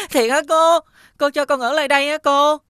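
A woman voicing a hungry young runaway boy in an animated folk tale, childlike and pleading, with a Southern Vietnamese accent. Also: sits high, moderate pace, dry recording, clean studio sound. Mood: eager. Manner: pleading, childlike, polite to elders.

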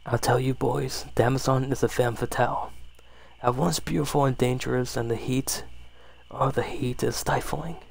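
A man's voice: medium pitch, fairly even intonation, moderate pace, vocal fry. A man reading a horror story aloud as an ASMR storyteller, sometimes stumbling and rereading phrases.

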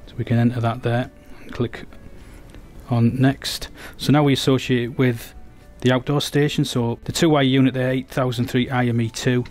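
A man's voice: medium pitch, level tone, normal speed, a little mellow, faint music behind. An English man giving a technical product demonstration, explaining steadily with frequent restarts.

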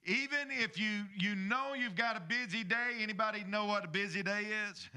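High, bright-toned preaching pastor. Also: male voice, normal speed, smooth. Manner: preaching with call-and-response asides to the congregation. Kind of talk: sermon. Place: church sanctuary stage. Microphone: handheld microphone.

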